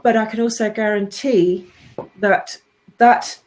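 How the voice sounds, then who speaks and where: A woman's voice: medium pitch, fairly even intonation, unhurried, a little mellow, low-quality recording. A woman speaking as a guest in a casual panel discussion, explaining her points steadily and firmly.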